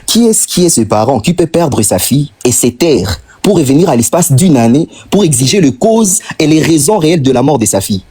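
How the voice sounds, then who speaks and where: A man's voice: a little high, very animated, a little fast, hardly any pauses, mellow, husky. A Congolese man with a Congolese French accent, making an impassioned, insistent argument on a live stream, piling up rhetorical questions and repeating phrases for emphasis. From Democratic Republic of the Congo.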